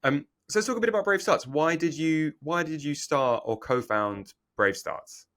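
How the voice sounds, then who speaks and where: A man's voice: on the high side, lively intonation, normal speed, a bit bright. A man hosting a podcast interview, speaking with many fillers and restarts as he introduces his guest and asks questions. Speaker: podcast host.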